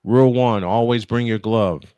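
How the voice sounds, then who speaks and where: A man calling baseball play-by-play and telling anecdotes between pitches. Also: normal speed, warm tone, clear, resonant tone, dry recording. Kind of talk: live sports commentary with anecdotes.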